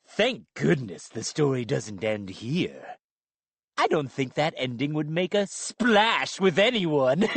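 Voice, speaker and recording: A woman's voice: deep voice, no echo, pitched below the performer's own voice, exaggerated voice. A woman voicing a wisecracking, gloating male ventriloquist dummy who mocks the listener with jokes and cackles. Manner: jokey, gloating, cackling laughs. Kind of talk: audiobook character voice.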